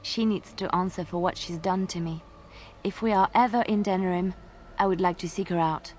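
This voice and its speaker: A wronged, resolute young adventurer from a fantasy video game, voiced by a woman. Her voice sits a little low, and she speaks with wary, earnest intensity. There is faint ambient noise behind her. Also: moderate pace, a little mellow, natural voice. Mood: brooding, resolute. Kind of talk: video game dialogue acting.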